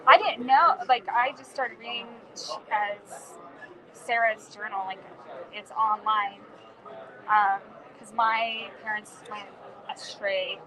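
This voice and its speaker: A young woman chatting casually at a conference, speaking quietly and trailing off, with lots of "like". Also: a little high, fairly even intonation, slow pace, low-quality recording. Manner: quiet, trailing speech with many "like" fillers. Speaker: conference visitor. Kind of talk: casual chat. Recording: loud crowd chatter behind.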